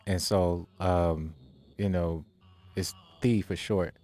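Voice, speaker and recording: A man's voice: deep voice, steady tone, slow pace, mellow. A man in his forties, a guest hip hop artist, chatting in an easygoing, agreeable way. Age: 40s. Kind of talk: casual interview chat. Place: radio studio.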